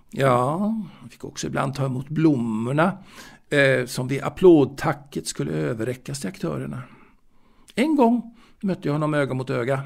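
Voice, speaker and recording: An actor playing an old man wistfully reminiscing about his boyhood in a halting, musing audio drama monologue, with a gravelly voice. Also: on the high side. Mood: nostalgic, confiding. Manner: halting, musing, with hesitations and repeated words.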